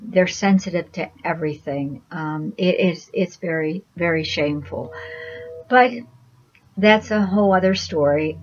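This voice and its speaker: A woman's voice: lowish voice, lo-fi sound. A woman vlogger telling a personal story in a casual, rambling way, with frequent restarts. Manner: chatty, rambling, many restarts and laughs.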